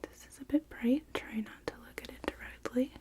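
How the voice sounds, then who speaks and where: A woman whispering softly in an ASMR roleplay as an optometrist, giving gentle, unhurried step-by-step instructions close to the microphone. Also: high voice. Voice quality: soft, breathy whisper. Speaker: ASMR performer playing an optometrist. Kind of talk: ASMR roleplay of an eye exam. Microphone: close microphones on stands with reflection shields.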